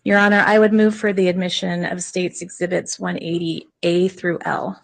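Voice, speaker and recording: A woman prosecutor questioning a witness in a courtroom, soft-spoken and polite. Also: fairly low pitch, little pitch movement, moderate pace, vocal fry.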